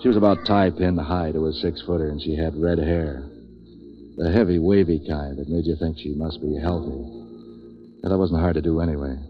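An actor playing a streetwise, wisecracking man for hire in 1940s radio noir, terse and deadpan. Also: extremely low pitch, moderate pace, faint music behind. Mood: wry, deadpan. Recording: muffled vintage radio recording.

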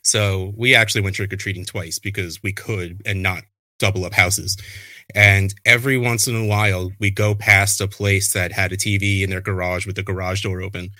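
A man in his thirties, a fantasy football podcaster, chatting casually and telling animated stories with a self-deprecating streak. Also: sits low, normal speed, no echo.

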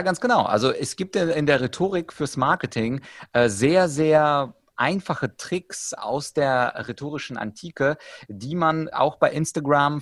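A man's voice: mid-range voice, no room sound. Man explaining things, close dry sound. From Ukraine, moved to Germany at ten. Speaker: podcast guest, rhetoric trainer. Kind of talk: explanatory conversational talk with examples.

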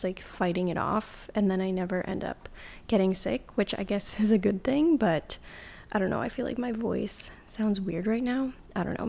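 A woman's voice: medium pitch, creaky, a little mellow. A young woman, hosting a casual solo podcast in a sleepy morning voice, chatty with lots of filler words. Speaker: podcast host.

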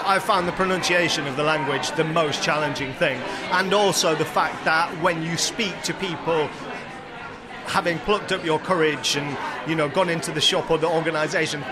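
A man from England with a Yorkshire accent, telling his story in a chatty, self-deprecating way in a street interview. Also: fairly high pitch. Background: crowd noise.

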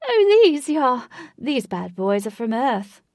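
A woman's voice: on the high side, big pitch swings, slow, darkish tone, dry recording, studio quality, pitched above the performer's own voice, exaggerated voice. A woman voicing a brash, boastful young British man with a posh accent and gushing manner in an audiobook. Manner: gushing, exclamatory. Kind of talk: audiobook character voice.

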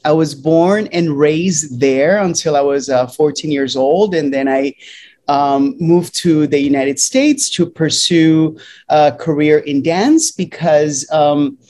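A middle-aged man from Puerto Rico speaks slowly as a guest in a relaxed interview. His talk is loose and unhurried, with frequent ums and restarts between thoughts. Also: a little high.

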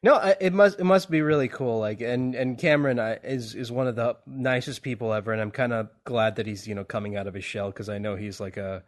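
A man's voice: mid-range voice, fairly even intonation, fairly quick, hardly any pauses. A man hosting a podcast interview, talking casually with many restarts and laughs. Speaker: podcast host.

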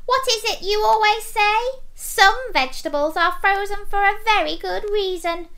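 Tiny evil pea villain, shrill, bright, gleeful, taunting, children's storybook. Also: female voice, normal speed, full character voice. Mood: cackling menace. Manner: shrieking, cackling, taunting.

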